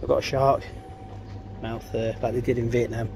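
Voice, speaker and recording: A man talks through a casual DIY vlog in a mid-pitched voice that barely rises or falls. He is chatty and informal, rambling a little. He is recorded outdoors on a handheld device with clear ambient noise around him. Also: little pitch movement, moderate pace. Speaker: DIY vlogger. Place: outdoors in a back garden. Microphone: camera or phone held by the speaker.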